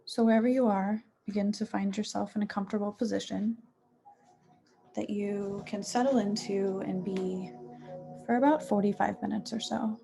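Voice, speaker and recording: A woman teaching yoga nidra, guiding a meditation in a soothing, unhurried manner with pauses between short phrases. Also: mid-range voice, steady tone, creaky, dark tone. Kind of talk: guided meditation. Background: music.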